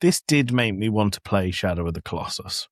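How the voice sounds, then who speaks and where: A man's voice: fairly low pitch, expressive, fairly quick, dry recording, clean studio sound. A man co-hosting a podcast, talking casually as he reviews things. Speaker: podcast co-host.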